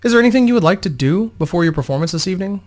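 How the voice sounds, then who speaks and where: A man's voice: sits high, animated, racing, darkish tone, voice pushed up. A man voicing a female bodyguard and adventurer who is courteous, practical and protective, in a storybook reading. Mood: friendly, reassuring.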